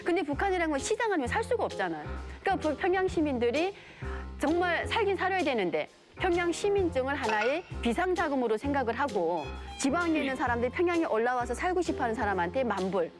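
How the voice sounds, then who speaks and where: A woman's voice: sits high, big pitch swings, fast, music in the background. A woman panelist explaining things in a lecturing manner in casual studio talk.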